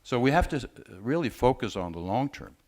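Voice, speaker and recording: A man speaking as a panelist at a conference discussion, measured and often hesitating. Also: medium pitch, very animated, darkish tone. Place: conference room.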